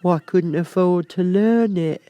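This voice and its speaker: A man voicing a mournful, self-pitying old turtle creature in a storybook reading, with drawn-out, sighing, doleful delivery. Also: sits high, warm tone, clear, resonant tone, dry recording, pitched above the performer's own voice. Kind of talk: storybook character voice.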